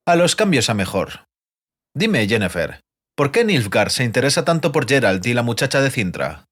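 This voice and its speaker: An actor playing a curious, witty bard with a theatrical, inquisitive manner in a fantasy audiobook. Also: male voice, mid-range voice, very animated, moderate pace, a bit bright, husky. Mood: playful, probing. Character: curious male bard poet, uneasy but witty. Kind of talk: dramatized fantasy audiobook dialogue.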